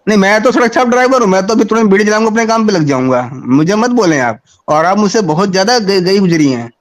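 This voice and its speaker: A man's voice: high voice, expressive, fast. A man arguing in a heated online debate, sarcastic and mocking. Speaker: debater on a live stream.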